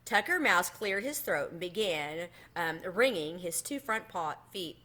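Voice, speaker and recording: A woman reading a children's novel aloud as an expressive storyteller. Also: fairly low pitch, measured pace. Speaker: storybook reader.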